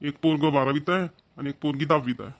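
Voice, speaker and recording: A man's voice: on the high side, quick pace, natural voice. A man playing a doting, proud middle-aged village father in a cartoon, with a rural Maharashtrian Marathi accent and earnest, homely manner. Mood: chatty. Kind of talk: Marathi cartoon family comedy voice-over.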